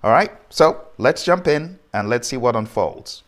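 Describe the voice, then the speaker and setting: A man's voice: medium pitch, animated, unhurried. A man giving an informal lecture as a project management instructor, speaking directly to the listener with rhetorical questions and point-by-point emphasis.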